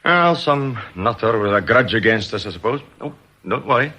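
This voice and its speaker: British credit card investigator in a radio play, husky, lively intonation, chatty but suspicious. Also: male voice, medium pitch, normal speed. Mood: chatty, brisk. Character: brisk male credit card company investigator, affable, suspicious. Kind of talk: British radio drama acting.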